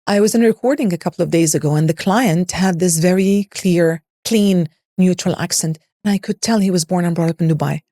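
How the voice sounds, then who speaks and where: A woman's voice: on the low side, brisk, few pauses, warm tone, dry recording, studio quality. A woman with a neutral international English accent, explaining as a coach in an interview in an articulate, emphatic way. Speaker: voice and public speaking coach, interview guest.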